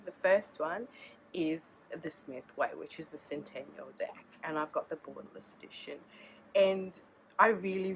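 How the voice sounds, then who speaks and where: A woman's voice: fairly low pitch, slight background noise, low-quality recording. A woman with a sore throat and a cold, chatting casually to camera outdoors as the host of her tarot channel, rambling and restarting sentences. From Australia.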